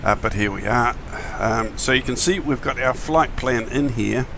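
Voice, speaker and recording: Croaky New man streaming flight sim. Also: medium pitch, moderate pace, noisy background. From New Zealand. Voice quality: gravelly. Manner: chatty, friendly, many fillers and restarts. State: croaky morning voice. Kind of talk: casual live-stream chat.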